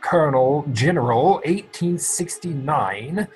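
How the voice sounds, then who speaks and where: A man playing an earnest, excitable young gentleman in a theatrical stage comedy reading. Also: a little high, slow, faint music behind, rough recording. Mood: urgent. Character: earnest, excitable young English gentleman seeking his true parentage. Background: musical score.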